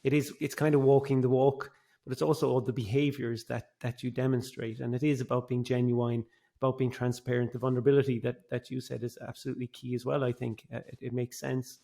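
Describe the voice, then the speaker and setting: A man hosts a casual podcast interview, with a gravelly edge and plenty of fry in his mid-range voice. He agrees readily with his guest and stumbles over repeated words as he restarts his questions. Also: mid-range voice, level tone, brisk, dark tone. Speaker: podcast host.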